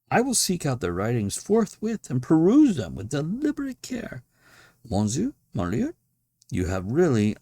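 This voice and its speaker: A man voicing a polite, flustered young gentleman guest in a dramatic storybook reading, tentative, questioning and very deferential. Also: mid-range voice, gravelly, no room sound, clean studio sound, voice pushed up, exaggerated voice.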